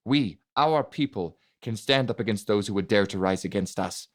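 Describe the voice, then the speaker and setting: Male narrator as impassioned young fantasy prince, lowish voice, deliberate commanding oratory, audiobook drama. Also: natural voice. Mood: resolute. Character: bold, passionate young male prince rallying nobles. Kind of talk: dramatic audiobook character reading.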